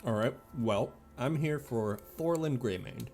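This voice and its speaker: A man's voice: mid-range voice, measured pace, a little mellow. A man streaming a video game with casual, playful and sometimes sweary commentary.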